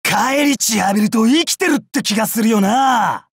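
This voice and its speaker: An actor playing a brash, bloodthirsty young male samurai in a mobile game, with rough, swaggering speech. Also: high-pitched, moderate pace, no echo, clean studio sound. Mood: boisterous, gleeful. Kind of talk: Japanese mobile game character voice lines.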